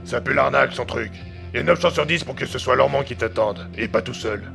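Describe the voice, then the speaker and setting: Blunt, slangy underworld agent played by a man, very creaky voice, interrogating, for radio drama. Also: mid-range voice, a little fast, a bit bright, faint music behind. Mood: wary. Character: suspicious, hard-nosed male underworld agent, pressing for answers. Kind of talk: audio drama acting.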